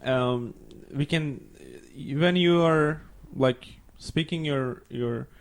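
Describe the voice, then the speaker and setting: A man's voice: on the high side, extremely slow. A young man from Iran whose first language is Farsi, a podcast guest talking casually and searching for his words in English. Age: young adult. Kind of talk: casual chat.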